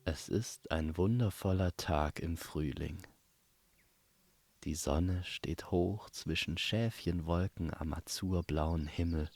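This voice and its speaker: A man with a very low, gravelly voice tells a fantasy tale as a storyteller. His delivery is calm and very slow, soothing like a bedtime story. Faint ambience sits behind the voice. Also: no room sound. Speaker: storyteller and host.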